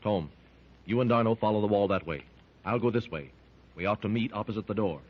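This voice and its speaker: An actor playing a calm, commanding jungle hero in a 1930s radio serial, giving terse directions. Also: male voice, lowish voice, fairly quick, lightly acted. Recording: dull old radio recording.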